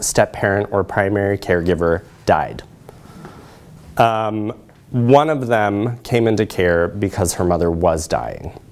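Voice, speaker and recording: Man, middle-aged, lowish voice, measured lecturer, explanatory, pausing often. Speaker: presenter, social work researcher. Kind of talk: academic lecture with slides. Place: lecture room, indoors.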